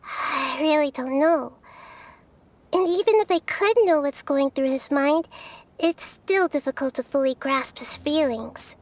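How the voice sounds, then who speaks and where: A woman voicing a gentle, wise, childlike girl deity in an anime game, speaking thoughtfully and earnestly. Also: high voice, unhurried, slight background noise. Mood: musing. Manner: soft-spoken, thoughtful, earnest.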